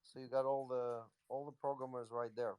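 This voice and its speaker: Guy answering q&a questions, smooth clear voice, dry sound, kinda rambly. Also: mid-range voice, level tone, normal speed, darkish tone, dry recording. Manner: rambling, loose, lots of "you know". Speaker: company executive answering questions. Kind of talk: casual Q&A on a live audio chat.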